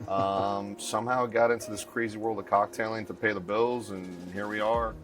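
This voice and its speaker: A man from Miami with a lowish voice talks casually as an interviewed bar owner. He is laid-back and slangy, like someone chatting with a fellow bartender. Soft music plays behind him in the bar. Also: level tone, normal speed. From Miami, United States. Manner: laid-back, slangy, says dude and bro. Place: inside a bar.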